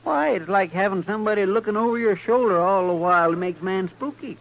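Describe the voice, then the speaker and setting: An actor playing an earnest, deferential Texas deputy with a homespun Southern drawl in a 1950s radio western. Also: male voice, sits high, brisk, vocal fry. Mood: earnest, folksy. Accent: Southern American, Texas drawl. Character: folksy, simple, deferential male deputy from Texas. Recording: muffled, noisy old radio recording.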